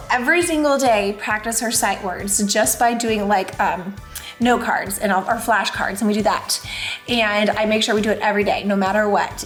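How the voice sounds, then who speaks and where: Hoarse, chatty young mom. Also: female voice, on the high side. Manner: chatty, upbeat, praising her child. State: hoarse, lost her voice. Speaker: homeschooling mom, channel host. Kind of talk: casual home vlog to camera. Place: family home, indoors.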